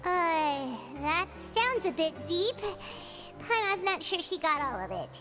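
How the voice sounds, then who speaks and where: A woman voicing a small, naive, childlike fairy companion in a video game, exclamatory, squeaky and easily indignant. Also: sing-song, slow, full character voice. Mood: puzzled. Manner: exclamatory, refers to itself in third person. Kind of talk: video game character dialogue. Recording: game music loud behind the voice.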